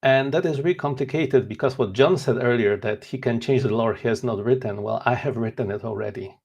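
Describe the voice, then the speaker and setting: Gravelly-voiced man in his forties. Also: medium pitch, fairly quick, darkish tone, dull audio. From Poland. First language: Polish. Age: 40s. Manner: earnest, candid, self-deprecating. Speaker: author and interview guest. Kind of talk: casual interview chat.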